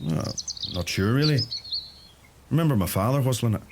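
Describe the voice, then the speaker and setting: Radio play: young Irish farmer from Donegal male actor, mid-pitched, wry, plain-spoken, birdsong and clear background noise. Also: animated, normal speed, lightly acted. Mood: wistful, reminiscing. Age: young adult. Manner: dry, colloquial, understated.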